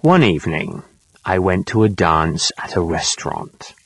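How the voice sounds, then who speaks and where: Deep, husky older man reminiscing. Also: sing-song, a little slow, fairly bright tone, no echo. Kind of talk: scripted first-person reminiscence for language lesson.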